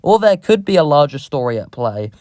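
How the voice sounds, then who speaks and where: A man's voice: fairly high pitch, sing-song, brisk, a little mellow, no echo. A man reading scripted narration as the narrator of a horror video, recorded close to the mic.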